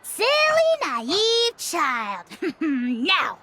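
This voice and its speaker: A woman's voice: high voice, big pitch swings, very slow, bright, forceful tone, full character voice. A woman voicing a tiny, bratty, child-like creature in a video game, theatrical and exclamatory. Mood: haughty, scornful.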